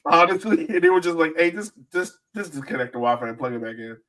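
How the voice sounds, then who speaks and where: A man's voice: fairly high pitch, animated, quick pace, pushed and bright, recorded from a distance. A man co-hosting a sports podcast, chatting casually and telling stories in slangy, acted-out quotes. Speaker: podcast co-host.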